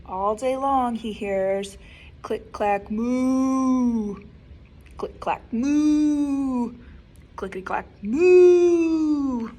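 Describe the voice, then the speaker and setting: A woman librarian reading a picture book aloud to children, expressive and animated, with soft whispered asides. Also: sits high, extremely slow. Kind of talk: children's story time read-aloud.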